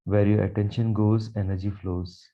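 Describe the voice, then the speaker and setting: A man guiding a meditation with affirmations in a slow, calm, soothing delivery with long pauses. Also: on the low side. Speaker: meditation guide, Reiki teacher. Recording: dull, muffled lo-fi sound.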